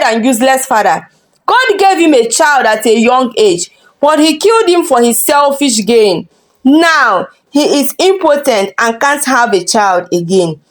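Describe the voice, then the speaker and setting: A woman narrating a scripted dramatic folktale as a storyteller. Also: sits high.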